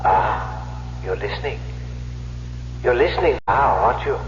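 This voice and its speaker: An eerie dead husband, caressing and mocking in a radio ghost play. The lowish voice rings with heavy echo on a muffled old recording. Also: male voice, expressive, unhurried, slight background noise. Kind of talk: old-time radio drama acting.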